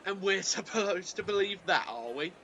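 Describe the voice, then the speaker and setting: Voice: mid-range voice, expressive, moderate pace, pushed and bright, muffled recording, slight background noise. An amateur actor with a British accent playing an indignant, sarcastic, accusing train passenger in a radio play. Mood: sceptical, accusing. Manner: sarcastic, scolding. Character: indignant, accusing, no-nonsense London Tube passenger.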